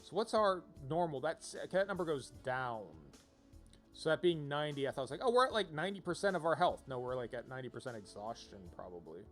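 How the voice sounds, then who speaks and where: A man with a fairly high voice chats through a game on a livestream. He rambles casually, thinking aloud, with music playing behind him. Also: big pitch swings, fast talker, a bit bright. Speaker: game streamer.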